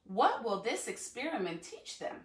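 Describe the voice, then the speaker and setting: Female educator for a children's read-aloud, higher voice, encouraging delivery, picked up at a distance from the mic. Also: sing-song, normal speed. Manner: encouraging, addressing children with questions. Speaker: school educator reading to students. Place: living room at home, indoors. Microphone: phone or camera a few feet away. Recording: echoey room, distant from the microphone.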